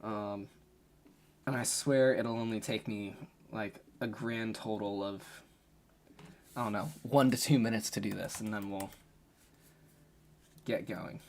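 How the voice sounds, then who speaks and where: A man live-streaming a game, chatting casually to his viewers with a slightly hoarse voice and lots of fillers as he thinks aloud. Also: lowish voice, fairly even intonation, measured pace, long pauses, a little mellow.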